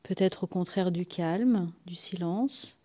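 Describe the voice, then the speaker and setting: Female relaxation guide, dark mellow timbre, soft and at times breathy, very slow soothing delivery with long pauses. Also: fairly low pitch. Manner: soothing, unhurried, with long pauses between short phrases. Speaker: guided meditation and sophrology practitioner. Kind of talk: guided yoga nidra relaxation.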